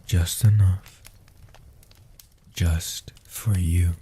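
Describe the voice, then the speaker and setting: A man voicing a gentle old guardian vampire in a soft-spoken comfort roleplay, slow and soothing, with a husky, half-whispered delivery. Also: low-pitched, steady tone, a little hiss or hum. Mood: tender, reassuring.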